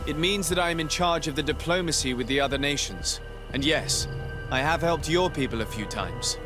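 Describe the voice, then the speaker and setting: An actor playing a composed young noble diplomat in a video game, formal, articulate and courteous. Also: male voice, fairly high pitch, animated, normal speed, over music, lightly acted. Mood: composed, diplomatic.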